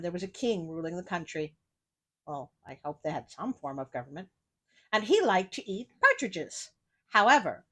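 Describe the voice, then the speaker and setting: A woman storyteller with a bright, forward voice reads a fairy tale aloud. Her pitch moves around a lot as she narrates. She slips into casual, wry side comments with a sardonic edge. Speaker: storyteller, host of a story show.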